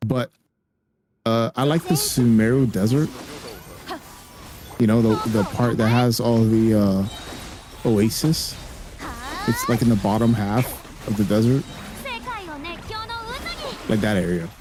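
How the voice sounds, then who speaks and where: A man's voice: fairly low pitch, steady tone, takes it slowly, long pauses, darkish tone, faint music behind. A young man, a native Spanish speaker, chatting casually as a gaming streamer in a blunt, slangy way and swearing freely. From raised by a Spanish-speaking family, living in the US.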